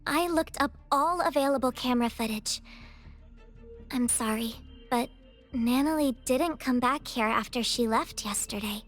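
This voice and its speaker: Woman acting high voiced young resort girl, polite n earnest, super literal, game dialogue with faint music. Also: measured pace, close to an everyday voice.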